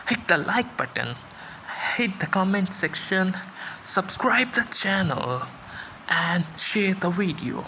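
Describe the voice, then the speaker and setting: A video channel host narrating an app review and tutorial in a halting, repetitive way. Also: medium pitch, sharp tone, slight background noise, low-quality recording.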